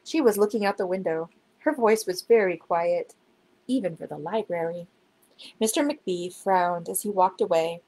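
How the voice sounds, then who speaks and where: A woman reading a children's storybook aloud in an expressive, dramatic storytelling manner. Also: lowish voice, moderate pace, a little hiss or hum, low-quality recording. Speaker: children's book reader. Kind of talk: storybook narration, read aloud.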